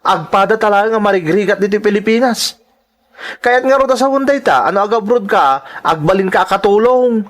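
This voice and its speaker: Scornful, high-voiced Ilocano husband, acted by a man. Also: lively intonation, fairly quick. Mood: argumentative, scornful. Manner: argumentative, goading. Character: selfish, scornful young husband, irresponsible drinker. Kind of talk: Ilocano audio drama acting.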